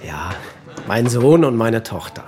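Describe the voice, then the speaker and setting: A man's voice: medium pitch, sing-song, unhurried, darkish tone, soft background music. Rambling, tipsy family man. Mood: chatty, tipsy. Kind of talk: German radio play acting.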